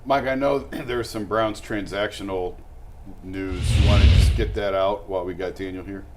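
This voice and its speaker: A man's voice: low-pitched, fairly even intonation, normal speed. A man hosting a sports show, steering the talk casually over a very noisy microphone with clicks.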